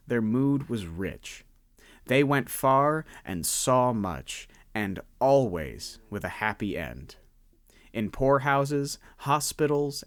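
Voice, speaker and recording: A man narrating a radio drama in an expressive storytelling manner. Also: medium pitch, dry recording, studio quality. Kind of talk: scripted narration.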